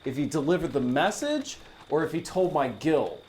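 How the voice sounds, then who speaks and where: A man's voice: a little high, sing-song, normal speed, a little mellow, a little hiss or hum. A man chatting casually as a player in a tabletop role-playing game, describing what his character does.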